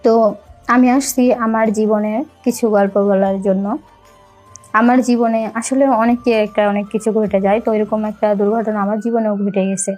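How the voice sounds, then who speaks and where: A young woman with a Bangladeshi accent telling a personal story in a halting, repetitive, colloquial way. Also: mid-range voice, fairly even intonation, a little mellow. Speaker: storyteller recounting own life. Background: music.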